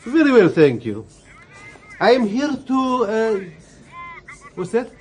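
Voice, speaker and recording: An actor playing a stiff, formal Russian ambassador with a Russian accent and halting, fastidious delivery in a satirical radio play. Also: male voice, very high voice, big pitch swings, darkish tone, full character voice. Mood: formal, wary.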